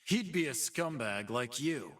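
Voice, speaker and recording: A man's voice: medium pitch, lively intonation, a little slow, a bit bright, far from the mic. An actor voicing a cocky, brutish half-human fight-pit boss for a video game, with a swaggering, taunting, slangy tough-guy drawl. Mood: menacing swagger. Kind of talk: video game character voice lines.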